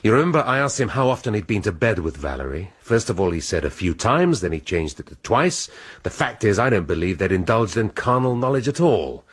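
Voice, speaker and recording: Low, husky-voiced English detective. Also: male voice, very animated. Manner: measured, reflective, dry wit. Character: senior English detective, brooding and bookish. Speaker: police detective inspector. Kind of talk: radio drama.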